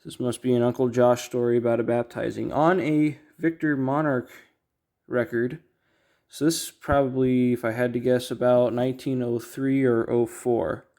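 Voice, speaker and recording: A man with a soft-edged, relaxed voice talks casually through items as he handles them. He speaks at a middling pitch and often halts to think aloud, like a hobbyist collector hosting his own video. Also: moderate pace. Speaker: record collector, video host. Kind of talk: casual unboxing commentary.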